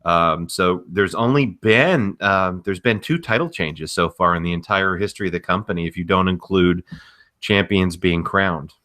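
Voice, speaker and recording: Low, bright-voiced man explaining things casually. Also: normal speed. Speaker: podcast co-host.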